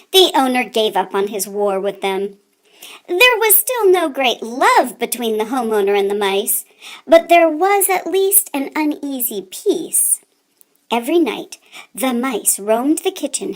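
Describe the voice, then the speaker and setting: A woman narrates a children's story in a high voice. Her delivery is slow and deliberate, with lively swings in pitch and theatrical stress on key words. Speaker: storyteller reading a children's book aloud. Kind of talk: expressive read-aloud narration for children.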